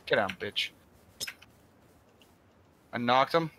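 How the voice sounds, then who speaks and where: A man's voice: medium pitch, expressive, slow, sharp tone, a little hiss or hum. A man making casual, blunt and often profane team callouts while playing an online game. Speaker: gamer in a squad stream.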